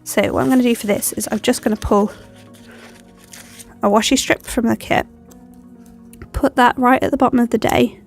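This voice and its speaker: Young woman, stuffy cold, gravelly voice, rambles with lots of ums. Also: fairly high pitch, steady tone, normal speed, long pauses, soft background music. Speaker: planner vlogger. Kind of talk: chatty plan-with-me narration.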